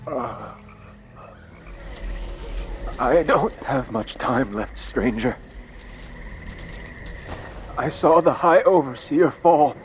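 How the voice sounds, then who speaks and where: A man playing a dying religious soldier in a video game, weak and labored, halting and grim. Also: medium pitch, expressive, faint music behind. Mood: despairing, weak. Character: dying zealous male religious soldier, bitter and despairing. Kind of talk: dramatic game cutscene acting.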